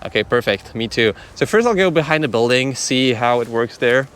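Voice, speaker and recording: A man's voice: mid-range voice, expressive, a little fast, fairly bright tone. A man giving a casual, chatty product demonstration as a vlogger.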